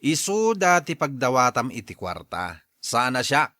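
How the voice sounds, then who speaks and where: A man's voice: medium pitch, big pitch swings, measured pace, bright, forceful tone, no echo, clean studio sound. A man playing a hard-headed, resentful husband and son in an Ilocano radio drama, with a combative, sneering manner. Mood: sullen.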